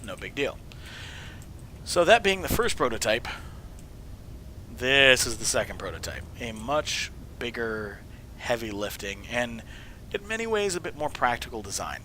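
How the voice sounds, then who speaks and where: Hoarse man, voice half gone. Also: medium pitch, sing-song, a little slow, long pauses, sharp tone, slight background noise. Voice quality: hoarse, dropping to a whisper at times. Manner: rambling, self-correcting, jokey. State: voice mostly gone from illness, sniffling. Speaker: video host showing game builds. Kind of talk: casual gameplay walkthrough.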